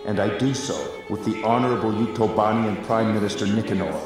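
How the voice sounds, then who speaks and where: A man's voice: lowish voice, steady tone, brisk, close to an everyday voice. A man voicing a dignified president in a video game, giving a solemn, formal wartime address to his troops. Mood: solemn, resolute. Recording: roomy echo, distant, loud music behind.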